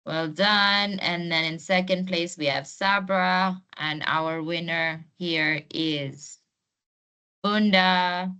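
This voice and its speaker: A woman presents an informal online training session at an unhurried pace. Her voice sits a little low, and she addresses participants in an encouraging, inviting way. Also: fairly even intonation, sharp tone, clear, resonant tone. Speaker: host and trainer of an online masterclass. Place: online video call.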